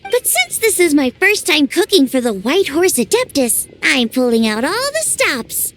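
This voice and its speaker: A bubbly young chef, very high and lively. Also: female voice, normal speed. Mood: upbeat. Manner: bubbly and upbeat. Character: cheerful young female restaurant chef, eager to please. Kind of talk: game voice acting. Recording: game dialogue over clear music.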